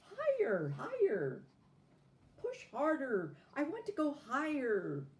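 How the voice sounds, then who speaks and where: A woman voicing a spoiled, bossy little doll come to life, speaking in a high, demanding, whiny voice. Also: expressive, measured pace, warm tone.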